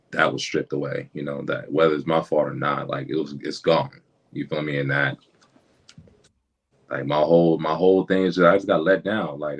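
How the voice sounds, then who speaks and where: Very deep-voiced slangy rapper guest. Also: male voice, husky. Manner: slangy, halting, with many restarts and "you feel me" asides. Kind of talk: casual conversational interview.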